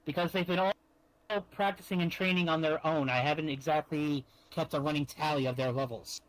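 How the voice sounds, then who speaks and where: Slightly high-voiced, deliberate young man. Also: steady tone, a bit bright, no room sound, rough recording. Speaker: roleplayer. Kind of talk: casual improvised roleplay chat. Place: online virtual-reality chat.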